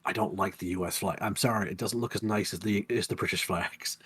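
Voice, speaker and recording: Husky nasal male co-host. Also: lowish voice, steady tone, fairly quick, a bit bright. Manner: teasing, chatty. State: recovering from lost voice. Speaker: podcast co-host. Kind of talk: casual game chat.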